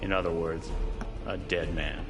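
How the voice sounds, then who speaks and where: A man's voice: low voice, steady tone, unhurried. An actor playing a grim undercover agent, brooding as he recalls his fate in a video game cutscene. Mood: bleak, dawning memory. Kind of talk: dramatic game cutscene monologue. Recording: game audio with music behind. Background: music.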